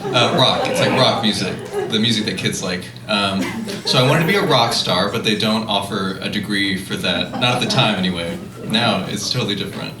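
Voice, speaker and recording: A man's voice: lowish voice, fairly quick, a crowd in the background. A man from central California speaking on a panel in a light, self-deprecating, joking way. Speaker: panelist, opera singer. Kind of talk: panel conversation. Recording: echoey hall, distant mic, audience noise.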